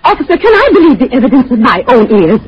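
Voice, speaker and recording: A woman's voice: high voice, big pitch swings, quick pace. An actress playing a devoted, anxious woman in an old-time radio drama, speaking with earnest, pleading emotion. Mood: tearful, indignant. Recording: muffled, hissy vintage radio recording.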